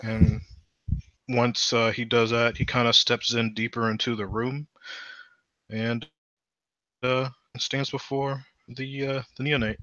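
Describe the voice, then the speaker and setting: A man's voice: medium pitch, level tone, slow pace, pauses often, pushed and bright, no echo, dull audio. A man narrating his character's actions in a tabletop game, hesitant and full of fillers. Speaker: tabletop player.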